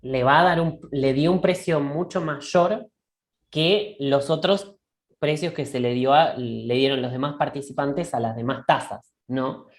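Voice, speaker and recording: A woman co-hosting a reflective discussion. She thinks aloud in a halting way and keeps checking in with a questioning "right?". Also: very deep voice. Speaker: discussion co-host. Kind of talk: reflective conversational dialogue.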